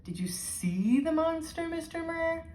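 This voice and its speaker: A woman's voice: very high voice, animated, a little slow, a little mellow, recorded from a distance, voice pushed up. A woman voicing a shy, curious little schoolgirl in a storybook, hesitant and earnest. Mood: anxious curiosity. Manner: hesitant, wide-eyed. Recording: roomy, echoing indoor sound.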